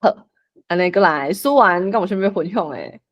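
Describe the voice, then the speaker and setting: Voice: medium pitch, animated, fairly quick, a bit bright, muffled recording. A host with a Taiwanese accent, moderating a casual discussion and inviting others to share.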